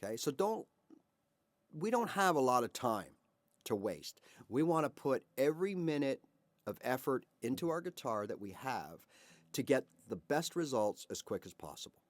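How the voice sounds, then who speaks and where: A man's voice: medium pitch, big pitch swings, normal speed, dry recording. A man of fifty or older giving a casual guitar lesson to camera, chatty and encouraging, asking rhetorical questions and checking in with "all right" and "right?". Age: 50s or older. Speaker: guitar teacher.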